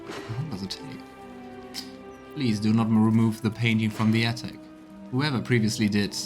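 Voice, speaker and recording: A male game streamer with a mid-pitched voice gives let's play commentary, moving between reading aloud and reacting to the game. Music is clearly audible behind him.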